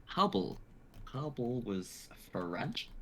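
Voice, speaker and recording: A man's voice: medium pitch, very animated, drawn-out words, poor audio. A man in his twenties, talking casually and narrating stage directions for an informal script reading. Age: 20s.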